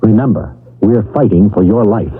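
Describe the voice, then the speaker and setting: A man's voice: on the low side, animated, normal speed, husky. A man reading a serious, earnest public service announcement on the radio. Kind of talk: scripted announcement. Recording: phone-like narrow old radio sound.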